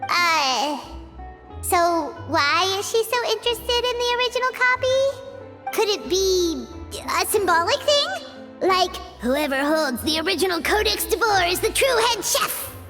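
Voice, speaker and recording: A woman's voice: extremely high pitch, expressive, measured pace, music in the background. A woman voicing a tiny, childlike fairy companion in a video game, chirpy, curious and exclamatory. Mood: puzzled. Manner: refers to self in third person, exclamatory. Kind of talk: animated video game voice acting.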